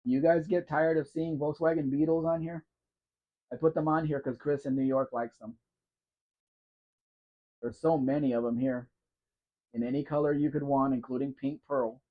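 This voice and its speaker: A man's voice: a little high, fairly even intonation, normal speed, long pauses. Guy chatting on a livestream, wanders around while he talks, kinda muffled audio. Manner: chatty, answers chat by name, wanders while talking. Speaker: livestream host. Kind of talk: casual live Q&A chat.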